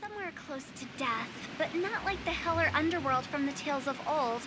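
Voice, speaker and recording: An actress playing a playful, cheeky young funeral parlor director in an anime video game, teasing and theatrical. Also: female voice, high voice, lively intonation, brisk, background noise. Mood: calm, guiding.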